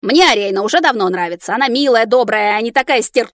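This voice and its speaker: A woman voicing a hot-headed young male mage in an audiobook, loud, indignant and blustering. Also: a little high, expressive, fast, no echo, natural voice. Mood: defiant. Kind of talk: audiobook character acting.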